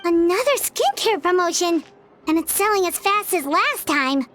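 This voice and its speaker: A woman's voice: very high voice, big pitch swings, moderate pace, fairly bright tone, full character voice. A woman voicing a small, bubbly female fairy companion in a game, who talks about herself in the third person. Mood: chirpy. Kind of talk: game cutscene voice acting.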